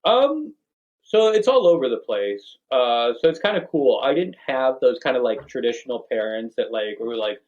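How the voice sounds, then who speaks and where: A young man, a bass player, chatting loosely in a podcast interview, filling his talk with "like" and "you know". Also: sing-song, moderate pace, muffled recording. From Venezuela. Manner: rambling, full of "like" and "you know".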